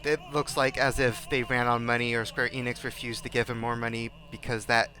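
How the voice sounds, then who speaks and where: A man on a discussion panel chatting casually in a halting way, often repeating and breaking off words. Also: mid-range voice, flat delivery, pushed and bright. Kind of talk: casual chat. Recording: music playing behind the voice.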